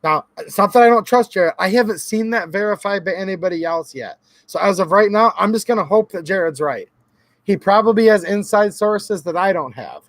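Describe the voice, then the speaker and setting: A hoarse man hosting his own gun channel, talking earnestly and casually straight to his viewers, often calling them guys. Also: high-pitched, lively intonation, a little fast, no echo. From Michigan. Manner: earnest, talks directly to viewers as guys, repeats himself. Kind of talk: casual talk to camera.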